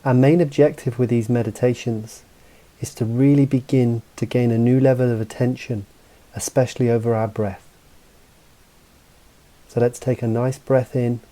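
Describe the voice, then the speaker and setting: A man leads a guided mindfulness meditation in a very dark, soft-edged and relaxed voice. His delivery is calm and measured, leaving space between phrases as he gently instructs. The pitch sits in an ordinary male range. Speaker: meditation guide and mental coach.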